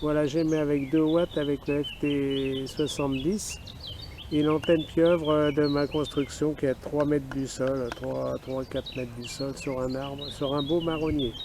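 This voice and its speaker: Man, French accent, slightly high pitch, gravelly creak, amateur radio chat, outdoor noise. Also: level tone, measured pace. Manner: spells call signs in phonetic alphabet. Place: outdoors in a wooded park. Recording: outdoor recording with clear background noise.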